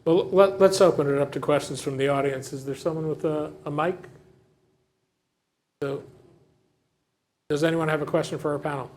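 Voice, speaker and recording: A man's voice: fairly high pitch, steady tone, normal speed, pauses often, darkish tone. A man moderating a panel, calling for audience questions with short friendly prompts.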